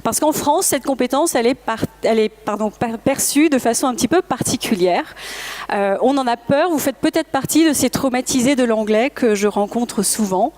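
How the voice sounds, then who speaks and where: A woman's voice: a little high, moderate pace, darkish tone. A woman whose first language is English gives a presentation in French at a trade fair, speaking earnestly to her audience and sometimes correcting herself. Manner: earnest, motivational, addresses the audience directly; occasional self-corrections and hesitations. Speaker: conference speaker, language school founder. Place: talk at a professional trade fair.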